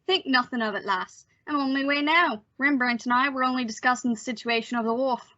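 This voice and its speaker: A woman's voice: sits high, fairly quick, pushed and bright. A woman playing a breezy, blunt man in an old-time radio comedy-mystery. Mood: jaunty. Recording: dull lo-fi audio.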